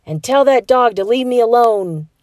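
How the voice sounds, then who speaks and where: A woman's voice: a little high, animated, moderate pace, darkish tone, dry recording, pitched above the performer's own voice, close to an everyday voice. A woman voicing a grumpy, sleepy teenage big sister who grumbles, in a storybook.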